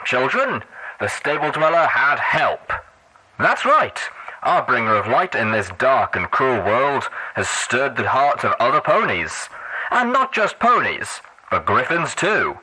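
Bright, rousing wasteland radio DJ. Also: male voice, mid-range voice, slightly rough, heavily acted, low-quality recording. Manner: preacherly, rousing. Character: fervent, theatrical wasteland radio DJ pony. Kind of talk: radio DJ monologue in an audiobook.

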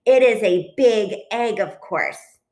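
A woman's voice: mid-range voice, takes it slowly, darkish tone, voice pushed up, lightly acted. A woman voicing an earnest, stubborn goose in a storybook, with emphatic delivery. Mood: matter-of-fact. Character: earnest, stubborn goose, a slightly slow-thinking storybook bird.

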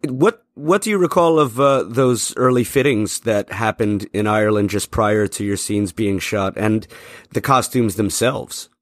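A man's voice: fairly low pitch, unhurried, dry recording, clean studio sound. A man hosting a podcast interview, asking questions in a polite, formal way. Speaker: podcast host.

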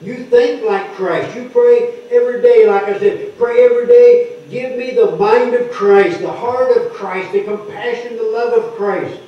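Older preacher in a roomy, echoing hall. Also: male voice, extremely high pitch, a little slow, few pauses, distant mic. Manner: explains word by word, stresses key words loudly. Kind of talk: sermon teaching scripture. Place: wood-paneled church hall, speaking at a lectern. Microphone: microphone on the lectern, heard from a distant camera. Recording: echoey hall heard from afar, dull.

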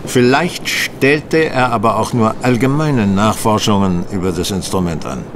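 An actor playing an astute, composed male detective in a German radio play, speaking in a deliberate, assured way. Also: close to an everyday voice. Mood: measured, pondering. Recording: clear vehicle noise behind the voice. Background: train rumble.

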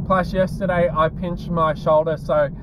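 A man's voice: a little high, little pitch movement, a little slow, background noise. A man in his twenties with an Australian accent, chatting casually as a fitness vlogger. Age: 20s. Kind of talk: casual vlog chat and workout commentary.

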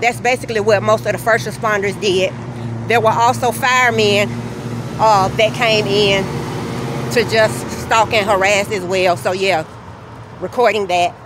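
A woman narrating a walking vlog on city streets, filming on her phone, telling her story in an earnest, steady way. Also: mid-range voice, measured pace. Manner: earnest, steady, recounting grievances. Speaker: vlogger. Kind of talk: walking tour vlog, personal account. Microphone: phone camera held while walking. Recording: faint street noise outdoors.

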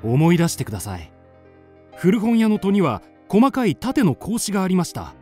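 An actor playing an earnest young amateur sleuth in a radio drama, narrating and reasoning in a deliberate, dramatic, polite way. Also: male voice, sits high, wildly swooping pitch, a little mellow, slightly rough, faint music behind, full character voice. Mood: confident accusing. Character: earnest young male amateur sleuth, confidently accusing his friend. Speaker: narrator and lead character.

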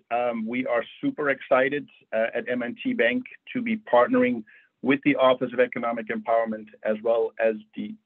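A man from the Netherlands, presenting a friendly banking webinar with lots of fillers over a video call. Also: lowish voice. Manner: friendly, conversational with frequent fillers. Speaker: bank financial educator. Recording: tinny phone-like audio.

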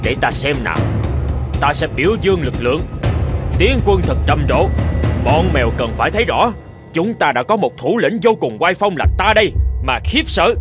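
A man's voice: fairly high pitch, animated, normal speed, music in the background, lightly acted. A man voicing a vain, boastful mouse leader in a cartoon, pompous and self-important. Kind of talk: cartoon dubbing.

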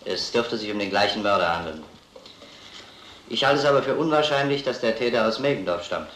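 A man's voice: fairly low pitch, expressive, brisk, fairly bright tone. An actor playing a factual, formal police detective reporting to his superior in an old crime drama. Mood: businesslike. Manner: matter-of-fact, formal. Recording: roomy old soundtrack, some noise.

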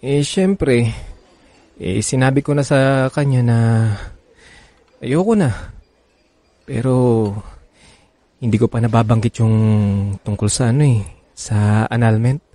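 A man's voice: mid-range voice, slow pace, long pauses, dark tone, no room sound. A man playing an evasive married lover in a radio drama, hesitant and placating as he soothes his girlfriend. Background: background music.

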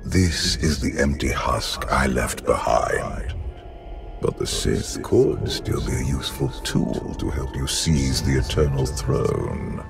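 Casting: cold, contemptuous undead emperor, gravelly and creaking, solemn delivery over loud music. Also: male voice, very deep voice, lively intonation, full character voice. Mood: cold, imperious. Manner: slow, grandiose, solemn. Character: ancient male emperor spirit, cold, imperious, contemptuous. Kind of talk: dramatic game acting.

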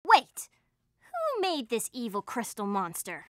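A woman playing a kind, earnest and determined teenage girl hero in an animated series. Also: a little high, takes it slowly, no echo, studio quality, exaggerated voice. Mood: suspicious.

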